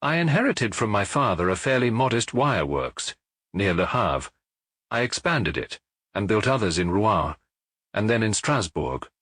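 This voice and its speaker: A man's voice: fairly low pitch, sing-song, a bit bright, dry recording, full character voice. Man playing a wheezing, broken French industrialist. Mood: subdued, apologetic. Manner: halting, defensive answers. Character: broken middle-aged French industrialist, defensive, distraught, proud.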